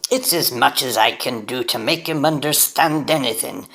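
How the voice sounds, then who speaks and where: Gravelly, officious railway attendant. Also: male voice, medium pitch, moderate pace, fairly bright tone. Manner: formal, deferential, dry. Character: long-suffering, officious Victorian railway attendant. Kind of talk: period audio drama acting.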